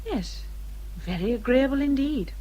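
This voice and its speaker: An actress playing a polite, self-possessed young English gentlewoman, earnest and quietly firm, in a period radio drama. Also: high-pitched, animated, measured pace, slightly rough, dull audio, full character voice. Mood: guarded earnestness. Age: young adult.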